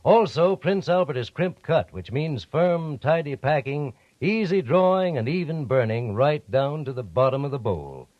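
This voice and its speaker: A man's voice: on the high side, animated, normal speed, few pauses, dry recording. A man reading a chummy, persuasive radio advertisement. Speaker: commercial announcer. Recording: dull, dry old radio recording.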